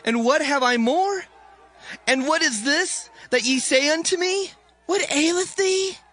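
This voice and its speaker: A man's voice: extremely high pitch, measured pace, bright, forceful tone, exaggerated voice. A man playing an aggrieved, robbed householder who protests with plaintive, indignant cries in a dramatized scripture reading.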